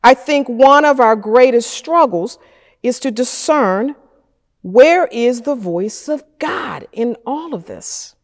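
A middle-aged woman pastor preaching a sermon from a church lectern, with slow, measured delivery and deliberate pauses. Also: fairly high pitch, expressive. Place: wood-paneled church chancel, at a lectern. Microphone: fixed camera at a distance. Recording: church with a long reverb tail.

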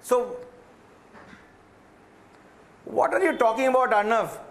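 Insistent Indian man, very high voice. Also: a little fast, vocal fry. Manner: defensive, insistent, repeating himself. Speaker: central minister, debate guest. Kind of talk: heated TV debate.

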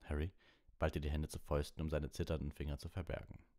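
A man's voice: rumbling low, flat delivery, fast talker, dark tone. A man reading a children's fantasy novel aloud as an audiobook storyteller. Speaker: audiobook reader.

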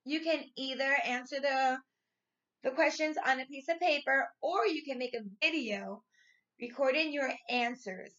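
A woman in her thirties, a primary school teacher reading and explaining to young children in an expressive, clearly enunciated way. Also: high-pitched, measured pace, dull audio. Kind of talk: read-aloud story and lesson for young children. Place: small furnished room at home, indoors.

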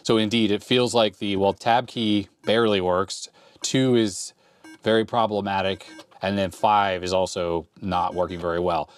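Low-voiced man demoing an old computer. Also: moderate pace. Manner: relaxed, thinking aloud while typing. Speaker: retro computer repair YouTuber. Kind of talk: casual hands-on demo talk. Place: cluttered workshop, indoors. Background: keyboard clicks and typing.

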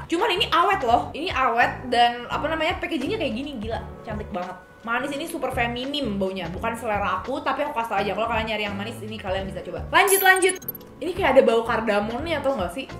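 High, expressive young Jakarta woman. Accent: Indonesian, Jakarta. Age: young adult. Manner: expressive, slangy, thinking aloud. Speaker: beauty vlogger reviewing perfumes. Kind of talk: casual chatty product review to camera. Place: indoor room, seated at a table. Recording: roomy echo, music behind.

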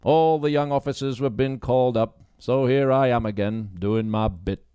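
A man's voice: mid-range voice, moderate pace, dark tone, clean tone, no echo, natural voice. A man voicing an elderly male constable, an easygoing and kindly old-timer in an audiobook. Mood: friendly.

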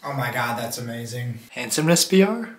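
A man's voice: mid-range voice, lively intonation, a little fast. A teenage man talking casually to the camera in a vlog, blunt and swearing as he rants. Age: teens. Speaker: vlogger. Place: indoors at home, close to camera. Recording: hip hop music behind.

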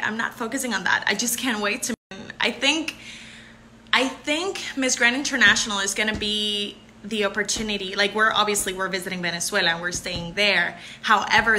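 A woman's voice: mid-range voice, pushed and bright, slight background noise. A young woman in her twenties with a Puerto Rican accent, a pageant contestant answering viewer comments on a live stream, laughing often and switching between English and Spanish. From Puerto Rico. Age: 20s. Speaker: pageant contestant hosting a livestream. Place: bright room indoors. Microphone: phone camera held close.

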